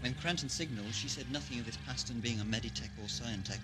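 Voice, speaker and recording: An actor playing a cautious, reasonable underling to a tyrant in an audio drama, speaking respectfully and with care. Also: male voice, medium pitch, background noise, lightly acted. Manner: respectful, careful, measured.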